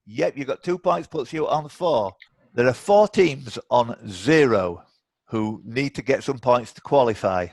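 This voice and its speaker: A British man hosts a live online quiz in a chatty, bantering way. His voice sits at a medium pitch. The sound is dry, with little room around it. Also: lively intonation, unhurried, darkish tone, no room sound. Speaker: quiz host. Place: indoors, on a video call.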